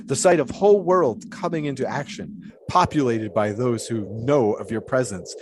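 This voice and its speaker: An actor playing a philosophical, theatrical flagpole sitter from the 1920s, musing and lecturing in a period audio drama. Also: male voice, medium pitch, normal speed, background noise, lightly acted. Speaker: flagpole sitter being interviewed.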